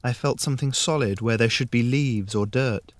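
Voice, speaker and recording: A man narrating a scripted fairy tale in the first person, measured and with dramatic pauses. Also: medium pitch, no echo. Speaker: story narrator.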